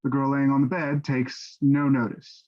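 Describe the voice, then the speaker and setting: A man reading scripted stage directions as narrator, in a measured, matter-of-fact way. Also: mid-range voice, level tone, a little mellow. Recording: dull, lo-fi audio.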